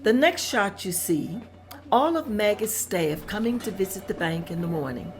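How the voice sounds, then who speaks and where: A woman's voice: medium pitch, lively intonation, normal speed. A woman reading out scene directions at a script table read in a plain, descriptive manner.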